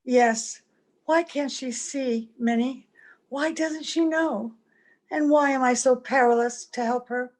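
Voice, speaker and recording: A woman playing a wistful, secretive gentlewoman in old-time radio drama style, earnest and gentle with a melodramatic touch. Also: high-pitched, fairly even intonation, slow. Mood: wistful, anguished. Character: wistful, secretive mother posing as a young visitor. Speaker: actor in an amateur radio play. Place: at home, recorded over video call.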